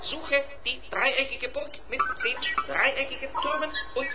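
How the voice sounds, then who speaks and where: High little robot voice, eager and fussy, stutters out repeats, music behind, kids radio play. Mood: matter-of-fact. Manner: halting, repeats words mechanically.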